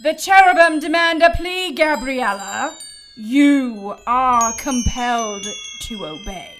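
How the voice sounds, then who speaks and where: High, pompous cherub judge. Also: female voice, lively intonation, takes it slowly, fairly bright tone, soft background music, heavily acted. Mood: indignant, commanding. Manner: pompous, formal, commanding. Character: stern, imperious cherub judge of a heavenly council. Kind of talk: audio drama acting.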